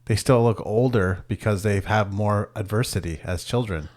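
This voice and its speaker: A man's voice: fairly low pitch, moderate pace, a little mellow. A man co-hosting a comedy podcast, rambling through his theories in a casual, profane way. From Mohawk Nation. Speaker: podcast co-host.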